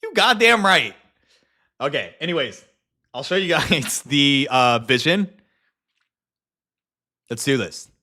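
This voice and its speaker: Animated young man, lively voice. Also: mid-range voice, slow pace, pauses often. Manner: animated, laughs often, swears casually. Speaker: YouTube host. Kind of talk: casual profane chat and documentary narration.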